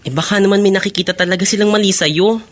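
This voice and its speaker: A woman playing a campy, candid young best friend in a Tagalog radio drama, sassy and animated. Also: mid-range voice, quick pace, close to an everyday voice. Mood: teasing, placating.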